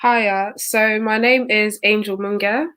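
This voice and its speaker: A woman's voice: mid-range voice, fairly even intonation, slow, a bit bright, clean tone. A woman, a student introducing herself on a panel in a composed, articulate way. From England. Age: 20s. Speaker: student and youth chair.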